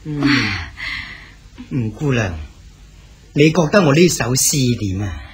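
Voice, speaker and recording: An actor playing a jovial, unhurried older father, a celebrated poet in old China, in a radio drama. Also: medium pitch, lively intonation. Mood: amused, kindly. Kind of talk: Cantonese radio drama acting.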